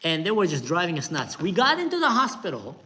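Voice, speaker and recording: A man's voice: high voice, fast talker. Israeli man telling a tense story. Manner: animated, acts out quoted voices, tense retelling. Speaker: storyteller host. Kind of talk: casual spoken storytelling.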